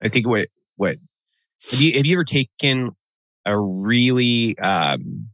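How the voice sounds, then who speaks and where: A man co-hosting a podcast in casual, profane banter, blunt and animated, talking into a boom mic in a studio room. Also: measured pace. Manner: blunt, animated, swears freely. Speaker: podcast co-host. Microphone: studio mic on boom arm.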